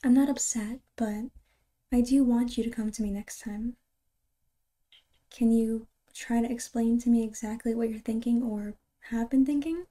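A woman playing a caring, reassuring girlfriend in an ASMR roleplay, soft-spoken and breathy, close to a whisper, with halting, tender delivery. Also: on the high side, steady tone, lightly acted. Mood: gentle concern.

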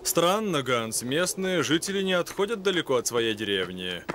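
Bright, commanding cartoon gentleman lion. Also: a little high, big pitch swings, moderate pace, slight background noise. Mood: grave. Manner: crisp, formal, commanding. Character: composed, courteous gentleman lion expedition leader. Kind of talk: dubbed cartoon acting.

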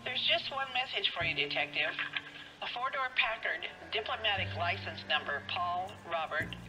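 A woman voices a crisp 1940s police dispatcher in a crime game, polite and efficient, in a fairly low voice. Game music plays behind her. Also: expressive, moderate pace, natural voice, poor audio. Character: polite 1940s female police switchboard operator. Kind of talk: video game voice acting.

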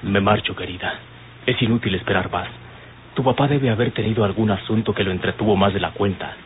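An actor plays a cautious young sweetheart in a radio serial. His voice is fairly low. The old recording is tinny and very noisy. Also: a little fast, lightly acted. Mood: uneasy. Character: cautious, proud young suitor. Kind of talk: old radio soap acting.

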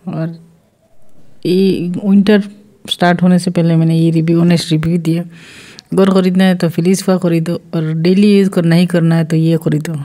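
A woman with a lowish, mellow voice talks through a casual home product review. She speaks in a flat, even tone and rambles, restarting sentences and mixing in English words. It sounds like a phone camera recording in a small room. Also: normal speed. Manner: chatty, rambling, with frequent restarts and English mixed in.

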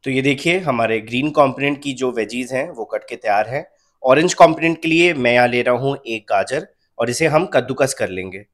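Guy doing a recipe voiceover, calm and steady, mid pitch, walks you through each step. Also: moderate pace. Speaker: cooking channel host. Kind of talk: recipe voice-over narration.

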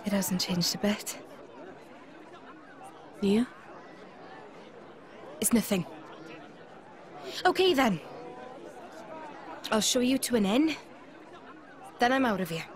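Brash, lively young catgirl. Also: medium pitch, slow pace, pauses often, some chatter behind, slight background noise. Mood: offhand. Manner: blunt, offhand, slangy. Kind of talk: video game voice acting.

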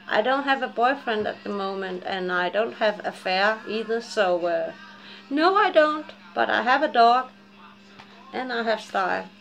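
Gravelly, slow-talking woman with Japanese-Danish accent. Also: fairly high pitch, fairly bright tone, rough recording. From Japan and Denmark. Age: 40s. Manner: rambling, candid, curses, laughs often. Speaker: vlogger. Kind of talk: casual chat to camera. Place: dim living room at home.

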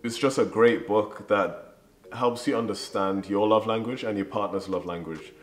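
A man's voice: lowish voice, normal speed. A young man co-hosting a vlog, giving casual relationship advice to camera. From Birmingham, England. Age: young adult. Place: living room, on a sofa. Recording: echoey room. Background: music.

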